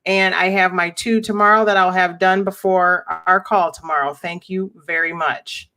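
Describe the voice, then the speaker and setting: Low-voiced encouraging American woman. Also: steady tone, normal speed, bright, forceful tone. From United States. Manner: encouraging, talkative, repeats words. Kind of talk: casual chat.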